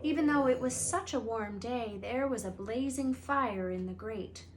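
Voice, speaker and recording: A woman reading a children's book aloud as a storyteller, with expressive narration. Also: a little high, measured pace, rough recording. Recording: faint background noise and ambience.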